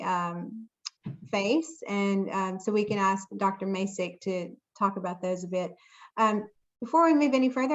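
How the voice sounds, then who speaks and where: A woman's voice: medium pitch, dull audio. A woman from Mississippi with a Southern accent, hosting an online talk in a friendly way with frequent ums. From Jackson, Mississippi, US. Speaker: webinar moderator. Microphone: computer mic on a video call.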